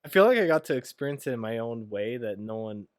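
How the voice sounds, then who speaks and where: A man in his thirties raised in Hawaii, co-hosting a casual podcast, relaxed and swearing casually. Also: medium pitch, fast, dry recording, studio quality. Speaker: podcast co-host. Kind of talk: casual chat.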